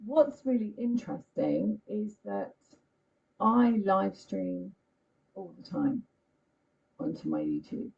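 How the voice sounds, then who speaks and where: A woman astrologer giving a casual, chatty talk on a live stream, croaky-voiced, often checking in with "okay?". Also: mid-range voice, big pitch swings, takes it slowly, pauses often, warm tone. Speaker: astrologer and healer. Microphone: computer mic on a video call. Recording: muffled lo-fi stream audio.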